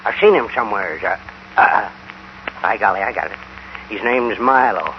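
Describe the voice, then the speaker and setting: A man's voice: on the low side, animated, normal speed, lightly acted. A man acting a talkative, comic old cowboy sidekick in a 1950s radio Western, with rustic dialect and hesitant stammering. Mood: uneasy, puzzled. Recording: dull, noisy old radio recording.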